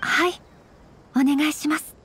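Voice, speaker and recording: A woman playing a gentle young noblewoman in an anime game, formal, composed and very polite. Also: high-pitched, steady tone, unhurried, slight background noise. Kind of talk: anime video game dialogue.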